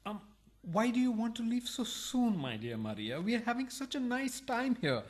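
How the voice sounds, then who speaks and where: A man's voice: very high-pitched, very animated, moderate pace. An actor playing a polite, cheerful, bumbling married man in a farcical radio play, chirpy and ingratiating.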